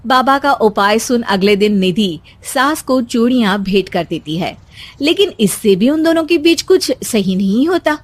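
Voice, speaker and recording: A woman's voice: a little high, animated, measured pace, no echo. A woman with an Indian accent reading scripted narration for a family moral story.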